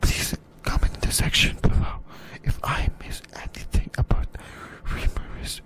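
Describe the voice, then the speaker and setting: A man speaking in a breathy, unvoiced whisper, hosting casual ASMR fan commentary and addressing listeners informally. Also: normal speed, a bit bright. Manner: informal, addresses viewers directly. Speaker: ASMR video host.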